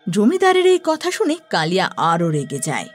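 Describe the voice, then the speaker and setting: Lively woman narrating a horror story. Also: mid-range voice, moderate pace, faint music behind. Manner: expressive storytelling.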